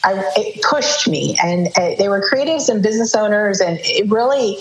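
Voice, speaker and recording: An earnest, reflective woman talks about her design work in a relaxed group conversation. Her voice is mid-pitched and rings in an echoey room, with faint music behind her. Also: normal speed. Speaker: freelance designer, panel guest. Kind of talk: casual group video chat.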